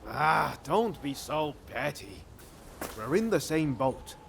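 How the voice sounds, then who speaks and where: A man's voice: fairly high pitch, sing-song, takes it slowly, gravelly, a bit bright, a little hiss or hum, full character voice. A man playing a cocky, easygoing samurai schemer in a video game, breezy and self-satisfied. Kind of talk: video game cutscene acting.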